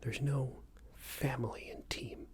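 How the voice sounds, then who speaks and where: A man's voice: medium pitch, little pitch movement, a little slow. Whispering man as a condescending boss. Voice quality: soft, breathy whispering and murmuring. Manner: hesitant, repetitive, patronising workplace talk. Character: passive-aggressive, condescending male company boss. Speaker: ASMR roleplay performer. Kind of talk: ASMR roleplay, improvised monologue.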